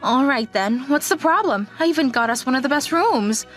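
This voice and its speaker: Manga dub heroine, female actor: confident company auditor, fairly high voice, direct delivery. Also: animated, moderate pace, fairly bright tone, faint music behind. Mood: teasing. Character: self-assured, teasing female company auditor. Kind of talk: English manga recap dub acting.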